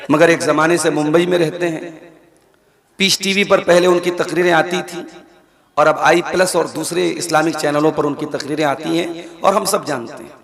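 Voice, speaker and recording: A man preaching with a hoarse voice at a night village religious gathering, speaking in a measured, earnest way to the crowd. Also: on the high side. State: hoarse, strained throat. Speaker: Islamic preacher. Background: crowd noise.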